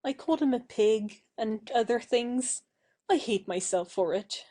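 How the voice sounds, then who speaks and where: A woman's voice: on the high side, a little slow, dark tone. A woman playing a proud, impulsive young society woman with a theatrical, changeable manner in an audio stage play. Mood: contrite.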